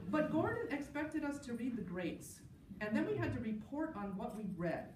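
A middle-aged woman delivers a wry, anecdotal tribute at an academic conference. She is picked up from a distance in an echoing room, with clear noise behind her. Her talk moves between prepared notes and amused asides. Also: high-pitched, big pitch swings, normal speed. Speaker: panel speaker, New Testament scholar. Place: large indoor conference session room. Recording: roomy, distant mic, clear background noise.

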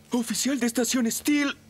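An actor voicing an excitable, clumsy young firefighter in a Latin American Spanish children's cartoon dub. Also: male voice, very high-pitched, slightly rough, full character voice. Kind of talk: animated children's series dub.

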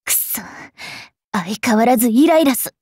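A woman voicing a bookish, sharp-tongued young fairy witch knight in an anime mobile game, switching between prim politeness and rough taunts. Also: on the high side, expressive, moderate pace, no room sound, clean studio sound. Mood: irritated.

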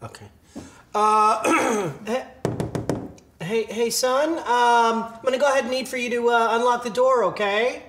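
A man's voice: extremely high pitch, steady tone, a little slow, roomy sound, noisy background. A middle-aged man playing a goofy, clueless father in a comedy sketch at home. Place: home hallway by bathroom door.

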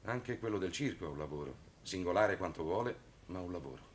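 An actor playing a calm, earnest priest in a 1960s television drama, speaking in a measured, articulate, firm way. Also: male voice, low-pitched. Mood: firm, persuasive. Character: calm, earnest priest defending his young charges. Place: studio set of a furnished room. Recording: noisy old television soundtrack.

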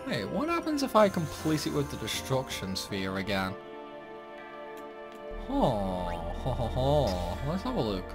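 Male gaming host, animated mid-range voice, chatty and playful, game music underneath. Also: mid-range voice, unhurried. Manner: chatty, playfully talks to the game's characters. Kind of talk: casual game playthrough commentary. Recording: music behind the voice.

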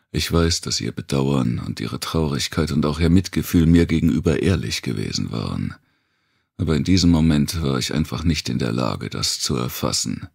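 A man with a gravelly, husky voice, giving a brooding, deliberate first-person audiobook narration of dark fiction. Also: extremely low pitch, dry recording, studio quality.

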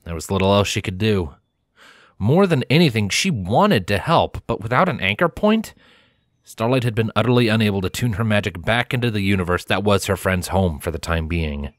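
A man narrates scripted fiction in the manner of an audiobook. His voice sits fairly low, and the recording is very dry. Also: expressive, normal speed, no room sound.

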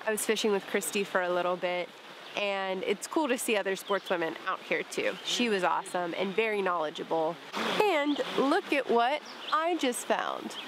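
A woman's voice: mid-range voice, expressive, moderate pace. A young woman vlogging outdoors by a forest stream, chatty and playful, filming herself with a handheld camera at arm's length. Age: young adult. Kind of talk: casual vlog narration. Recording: stream noise in the background. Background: flowing stream and outdoor ambience.

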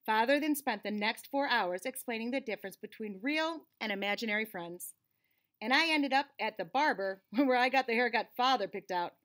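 A woman in her forties giving an expressive, dramatized storybook read-aloud for children in a small room. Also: a little high, moderate pace. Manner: animated, clearly dramatized for children.

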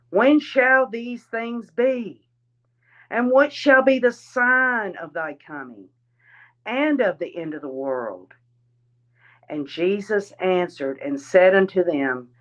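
A woman reading a religious address aloud in a deliberate, solemn manner. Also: mid-range voice, a bit bright, muffled recording. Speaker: reader.

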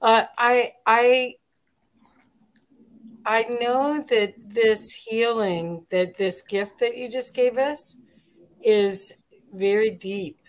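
A middle-aged woman hosting an interview over a video call, speaking warmly with halting restarts. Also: on the high side. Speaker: host. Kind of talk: casual interview talk.

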